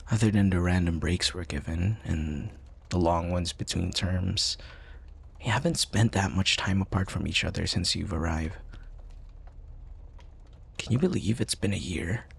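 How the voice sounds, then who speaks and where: A young male student played by a man speaks low and gravelly, as a fond, playful best friend in a boyfriend audio roleplay. His delivery is soft and intimate, with small hesitations. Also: measured pace, long pauses, full character voice. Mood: tender, sincere.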